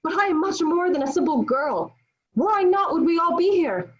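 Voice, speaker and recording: Woman playing proud sharp-tongued young heroine, high-pitched, declamatory, defiant, dramatic table read, muffled recording. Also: animated, moderate pace. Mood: scornful, fiery. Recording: dull, lo-fi video call audio.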